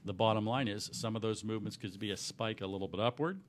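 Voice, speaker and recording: Middle-aged guy a bit hoarse, lowish voice, earnest, lots of restarts, faint room hum behind. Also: fast. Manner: earnest, explanatory, many restarts. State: a little hoarse. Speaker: mortgage company owner, guest. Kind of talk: explaining on a radio talk show. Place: small radio studio with backdrop. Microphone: desk microphone on boom.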